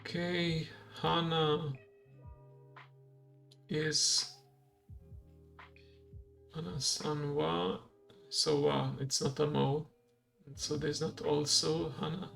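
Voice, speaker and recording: Streamer who talks a lesson through slowly; slightly higher voice with a gravelly edge. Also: male voice, flat delivery, fairly bright tone, soft background music. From Romania. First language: Hungarian. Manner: slow, thinks aloud, explains step by step. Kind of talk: live-streamed language lesson playthrough.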